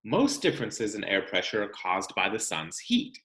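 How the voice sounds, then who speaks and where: A man reading a children's science book aloud as a teacher, expressive and explanatory, speaking directly to young students. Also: on the low side, normal speed, reverberant.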